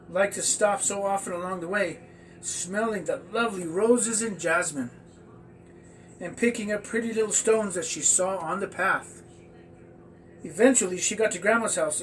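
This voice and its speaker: A man telling a children's story aloud in a halting, stumbling way. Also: high voice. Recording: distant mic with faint background noise.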